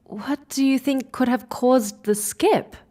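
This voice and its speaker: A woman playing an inquisitive, excitable space explorer in an audio drama, with a questioning, hesitant way of talking. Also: high voice, takes it slowly. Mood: puzzled.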